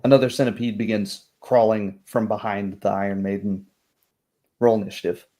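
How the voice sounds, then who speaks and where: A man with a fairly low voice acts as game master of a tabletop roleplay. He narrates in descriptive, literary phrasing, setting out scenes for the players. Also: level tone, normal speed, warm tone.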